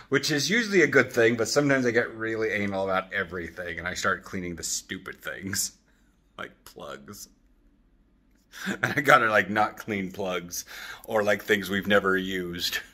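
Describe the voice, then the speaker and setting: A man in his thirties chatting casually in a vlog with an animated, jokey manner, recording on a phone at arm's length in an apartment. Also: on the low side, moderate pace. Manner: animated, jokey, acts out quotes. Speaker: vlogger. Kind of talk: casual rambling vlog chat. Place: open-plan apartment living room and kitchen.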